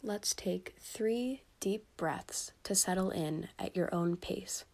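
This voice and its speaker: A woman leading a scripted guided meditation for sleep, speaking gently and soothingly with pauses between instructions. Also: fairly low pitch, vocal fry.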